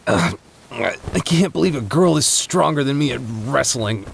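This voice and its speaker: A man's voice: medium pitch, lively intonation, measured pace, vocal fry, slight background noise. A young man playing a defensive, secretly smitten tsundere boyfriend in an intimate ASMR roleplay, stammering and easily embarrassed. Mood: flustered protest.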